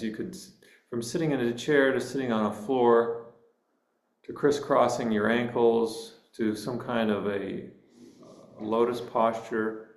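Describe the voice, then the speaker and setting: Male meditation guide. Very slow, gentle delivery with space between phrases, mid-pitched, recorded in an echoing room. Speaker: meditation teacher.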